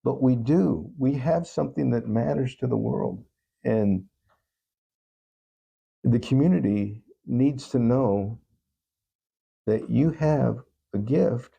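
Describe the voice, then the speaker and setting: A man speaking as an interview guest, giving earnest, thoughtful answers in a halting, reflective way. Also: medium pitch, vocal fry, warm tone, breathy edge, lo-fi sound. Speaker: talk show guest.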